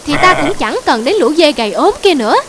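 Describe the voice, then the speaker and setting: High-pitched, envious goatherd. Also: female voice, big pitch swings, brisk, fairly bright tone, a little hiss or hum, exaggerated voice. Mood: greedy. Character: envious, greedy, stubborn male goatherd. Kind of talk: dramatic cartoon dubbing. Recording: music behind the voice.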